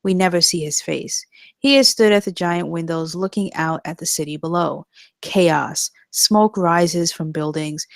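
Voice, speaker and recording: Creaky-voiced woman reading screenplay narration. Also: on the low side, unhurried, no room sound. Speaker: narrator reading stage directions. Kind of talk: scripted narration at a table read.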